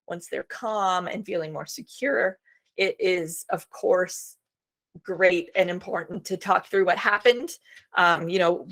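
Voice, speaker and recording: A woman's voice: medium pitch, a bit bright. Chatty woman presenting a homeschool webinar. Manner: chatty and reassuring, frequent "um" fillers. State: starting to lose her voice. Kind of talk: informal instructional webinar talk.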